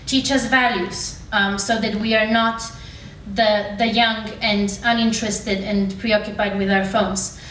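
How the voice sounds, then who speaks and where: A woman's voice: medium pitch, little pitch movement, measured pace, a bit bright. A woman in her twenties from Bulgaria giving an earnest, argumentative prepared speech as a student speaker. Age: 20s. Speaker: student speaker on a youth panel. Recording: roomy, distant mic, background room noise.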